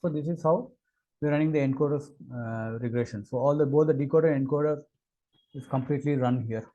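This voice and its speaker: A man narrating an unscripted technical demo as an engineer, with halting, hesitant phrasing and repeated words. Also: a little high, dull audio. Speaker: engineer presenting a product demo.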